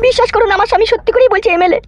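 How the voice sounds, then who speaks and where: A woman's voice: extremely high pitch, rapid-fire, no room sound. A woman playing a put-upon young wife and tenant in a comic cartoon, evasive and protesting. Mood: resigned.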